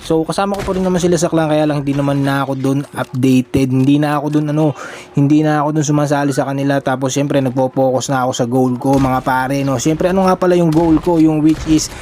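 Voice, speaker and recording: Chatty young Filipino gaming narrator. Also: male voice, on the high side, fairly even intonation, fast, darkish tone, slight background noise. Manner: chatty, rambling, calls viewers buddies. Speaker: mobile gaming content creator. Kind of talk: casual voice-over for gameplay.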